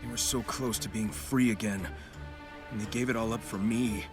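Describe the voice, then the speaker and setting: A man's voice: on the low side, fairly even intonation, moderate pace, close to an everyday voice. A man voicing a confident, determined young hedgehog hero in a video game, breezy but earnest. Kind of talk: video game cutscene acting. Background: music.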